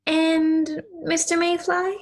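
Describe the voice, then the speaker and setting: A woman's voice: very high-pitched, little pitch movement, slow, a little hiss or hum, heavily acted. A woman playing a kind, earnest young girl in an audio play, with a sincere, hesitant, childlike manner. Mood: tender sadness.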